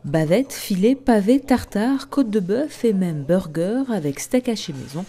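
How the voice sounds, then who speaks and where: A woman reporter reading a scripted voice-over for a local news piece in a measured way. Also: medium pitch, expressive, warm tone. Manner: measured, read-out delivery.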